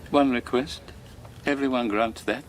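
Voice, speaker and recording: An actor playing an older fugitive war criminal, courtly, greedy and pleading in a halting radio drama performance. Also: male voice, mid-range voice, close to an everyday voice. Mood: desperate pleading. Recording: noisy radio drama recording.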